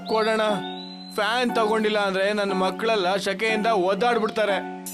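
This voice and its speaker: A man voicing an earnest, aggrieved village husband in an animated moral story. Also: high voice, moderate pace, music in the background, lightly acted. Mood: plaintive. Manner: plaintive, earnest.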